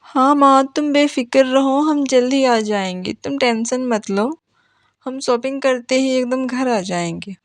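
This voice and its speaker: A woman's voice: high-pitched, measured pace, no room sound. A woman voicing cartoon dialogue as a fretful mother and her headstrong young daughters. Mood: cheerful.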